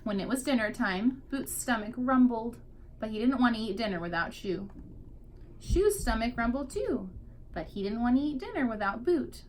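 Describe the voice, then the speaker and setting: Woman, teacher, higher-pitched voice, expressive children's read-aloud, drawn-out emphasis, slightly noisy recording. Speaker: teacher reading a picture book aloud.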